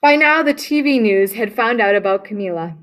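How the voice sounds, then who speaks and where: A woman reading a children's picture book aloud in a lively, expressive way. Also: medium pitch, a little fast, a little hiss or hum. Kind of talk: read-aloud storybook narration.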